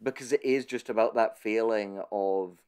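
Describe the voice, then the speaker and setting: A man's voice: lowish voice, fairly even intonation, moderate pace, a little mellow, smooth. A man in a conversational analytical discussion, speaking thoughtfully with hesitant, stammering starts as a podcast host.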